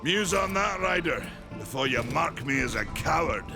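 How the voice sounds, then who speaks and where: Gravelly, gruff old warrior. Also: male voice, a little high, animated. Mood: stern, bitter. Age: older. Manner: gruff, deliberate, proud. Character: proud, battle-weary old male warrior maker. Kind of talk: dramatic video game acting. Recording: music underneath.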